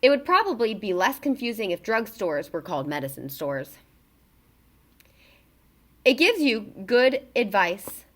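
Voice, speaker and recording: A woman reading a children's book aloud in an expressive, emphatic way, as a narrator for young listeners. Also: medium pitch, unhurried, long pauses.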